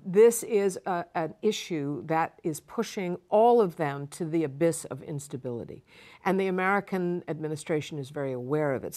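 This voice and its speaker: Woman guest expert, low voice, calm measured explanatory delivery for a broadcast interview. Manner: measured, explanatory, emphatic repetition.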